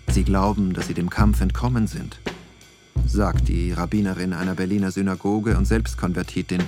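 A man's voice: low voice, fairly even intonation, moderate pace, over music. A man narrating a scripted documentary text for a radio play.

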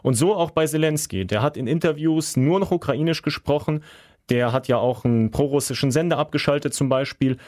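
A man co-hosting a podcast, explaining calmly in a plain, casual way. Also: a little high, brisk, dry recording, clean studio sound. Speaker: podcast co-host.

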